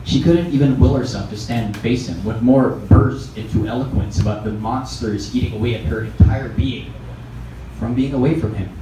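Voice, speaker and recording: A man's voice: medium pitch, normal speed. A man with a Filipino accent reads a story as a narrator at a live event. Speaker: reader at a literary event. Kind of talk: scripted fiction narration. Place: echoey room at a live event. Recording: echoey, distant mic, clearly noisy live event.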